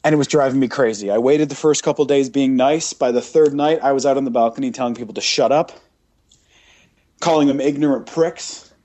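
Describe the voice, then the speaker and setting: Mellow-voiced man on a blunt rant. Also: medium pitch, a little fast. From Britain. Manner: emphatic, repetitive listing, blunt. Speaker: podcast host. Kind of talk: casual opinionated rant.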